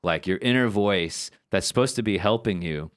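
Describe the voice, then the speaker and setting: Guy with a really deep voice on a podcast, thinking out loud, lots of likes and restarts, dry close sound. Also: moderate pace, dry recording. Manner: thoughtful, builds ideas with many fillers and repeats. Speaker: podcast co-host. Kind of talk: casual podcast discussion.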